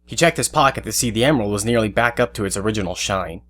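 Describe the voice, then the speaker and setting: A man's voice: on the low side, quick pace, a bit bright. A man giving scripted third-person narration for an audio drama. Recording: faint music under narration. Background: faint music.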